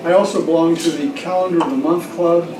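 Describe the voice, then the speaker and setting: A man's voice: a little high, steady tone, fast talker. A man making informal club announcements with dry asides. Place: indoor luncheon room. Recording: echoey noisy room, distant mic.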